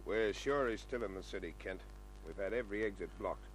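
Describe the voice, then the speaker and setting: Police officer, vintage radio drama, male actor: deep voice, cautious, businesslike, world-weary. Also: brisk, faint music behind, lightly acted, poor audio. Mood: sober, resigned. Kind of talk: old-time radio drama acting. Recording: old radio recording with hum.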